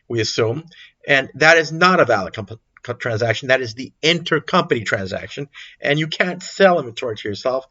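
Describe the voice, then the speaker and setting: A man's voice: medium pitch, a bit bright, highs cut off. A man giving an informal explanatory lecture as an accounting instructor, repeating and rephrasing his points for emphasis. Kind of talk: explanatory lecture over a worked example.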